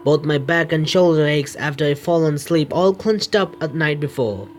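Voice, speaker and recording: A man reading game text aloud as a streamer, halting and stumbling, with casual asides. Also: on the high side, a little hiss or hum. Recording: music under the voice.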